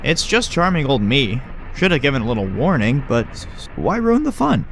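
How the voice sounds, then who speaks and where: A man's voice: mid-range voice, very animated, normal speed, slight background noise. A man playing a wry, teasing creature that passes as a human mentor in a horror audio drama. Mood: wry, breezy. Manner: wry, breezy, teasing, coaching. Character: flippant, chummy man who is secretly a swarm of bugs.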